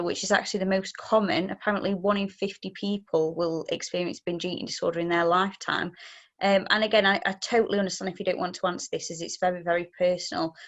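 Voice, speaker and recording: A young woman presents and interviews in a medium-pitched voice. She explains things plainly and phrases her questions with care and consideration. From Leicester, England.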